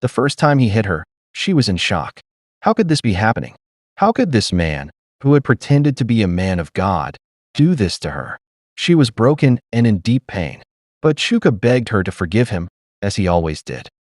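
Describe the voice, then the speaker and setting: A man narrating a scripted dramatic story in a solemn, measured storytelling manner. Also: lowish voice, sing-song, darkish tone, no echo, clean studio sound.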